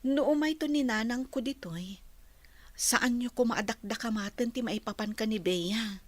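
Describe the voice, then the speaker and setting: Woman acting a high-voiced, concerned younger woman. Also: moderate pace, no echo, close to an everyday voice. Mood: pleading. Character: younger woman in a family melodrama. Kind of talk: radio-style drama acting.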